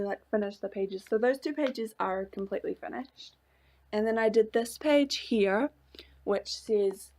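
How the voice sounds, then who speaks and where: Slightly hoarse, gravelly young woman. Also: mid-range voice, mellow. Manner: chatty, rambling with many "like" fillers and small laughs. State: slightly hoarse from a coming cold. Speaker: craft vlogger. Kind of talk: casual chat showing a journal.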